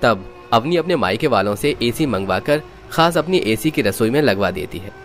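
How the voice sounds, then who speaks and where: A man's voice: mid-range voice, animated, moderate pace, faint music behind. A man reading scripted narration for a story.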